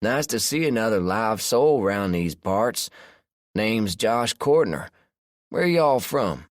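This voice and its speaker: A man's voice: lowish voice, sing-song, takes it slowly, no room sound, clean studio sound, pitched above the performer's own voice. A man voicing a friendly, easygoing rural man of about fifty with a Southern drawl, in an audiobook. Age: 50s.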